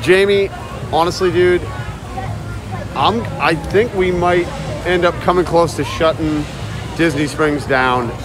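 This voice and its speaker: A man talking loudly and casually to viewers as he live-streams while walking around. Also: fairly high pitch, measured pace, long pauses. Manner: loud, chatty, talks to viewers and chat. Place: outdoors at a busy shopping area, later indoors. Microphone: phone held while walking. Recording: phone audio with crowd noise. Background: crowd chatter.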